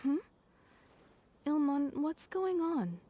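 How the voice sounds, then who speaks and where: Slow, high-voiced tender mother. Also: sing-song, warm tone, slight background noise, exaggerated voice. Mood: puzzled. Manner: soothing. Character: tender, bewildered young mother.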